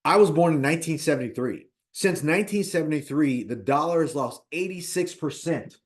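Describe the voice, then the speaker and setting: A man of fifty talking casually about personal finance as an investor, animated, repeating points and checking in with "right?". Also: fairly high pitch, fairly quick. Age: 50s. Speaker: real estate investor.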